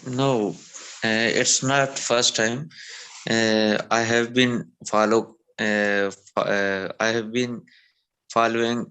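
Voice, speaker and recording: Slow, hesitant man, smooth voice. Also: mid-range voice, level tone, bright, forceful tone, rough recording. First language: Urdu. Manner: hesitant, many fillers and restarts. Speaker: show participant. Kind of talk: halting conversation in a second language. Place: video call.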